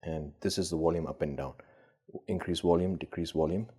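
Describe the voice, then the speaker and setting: A man's voice: low-pitched, fairly even intonation, fairly quick, dark tone, husky. A man hosting a casual gadget demonstration, thinking aloud in a hesitant, unscripted way as he tests things. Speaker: gadget collector and video host.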